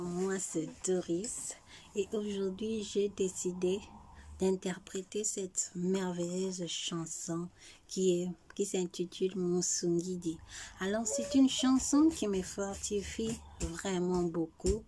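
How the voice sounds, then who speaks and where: A woman speaks slowly and softly in a warm, mellow voice, earnest and devout as she addresses her viewers. Her pitch sits on the lower side for a woman. A faint hiss of background noise lies under the recording. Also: steady tone. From Congo. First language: Tshiluba. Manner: soft, earnest, devout, often hushed. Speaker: vlogger. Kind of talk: personal chat before singing.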